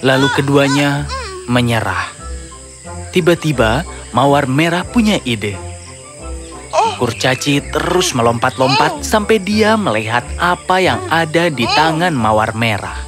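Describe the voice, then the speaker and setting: A man narrates a children's story in a middle-pitched voice. His delivery is scripted storytelling, set over clear background music. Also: animated, a little slow, pauses often.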